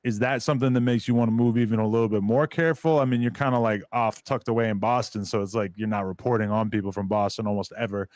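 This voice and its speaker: A man's voice: lowish voice, fairly even intonation, fast talker, few pauses, darkish tone, no echo. A man hosting a podcast, interviewing in a casual, reflective, informal way with some mild swearing.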